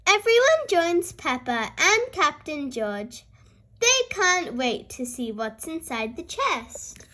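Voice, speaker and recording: A young-sounding host reading a children's storybook aloud in a cheerful, expressive way, speaking directly to young listeners. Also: high-pitched, takes it slowly, slight background noise. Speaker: host and reader of a children's read-aloud show.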